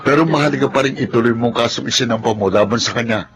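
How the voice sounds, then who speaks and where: A man's voice: mid-range voice, fast talker, background noise, close to an everyday voice. A man playing a righteous, protective friend in a Filipino drama, earnest and emphatic. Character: protective male friend, righteous and plain-spoken.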